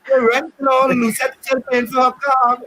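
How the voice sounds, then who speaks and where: A man's voice: extremely high pitch, little pitch movement, moderate pace, sharp tone, poor audio. A man hosting a panel discussion, chatty and joking in a loose, easy way. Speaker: host.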